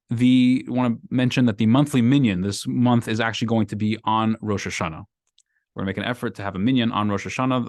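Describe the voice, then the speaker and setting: A man's voice: lowish voice, dry recording, studio quality. A man from Brooklyn, a rabbi giving a casual weekly Torah class in an emphatic, repetitive style. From Brooklyn, USA. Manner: animated, repeats phrases, emphatic rising lines. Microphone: microphone in class.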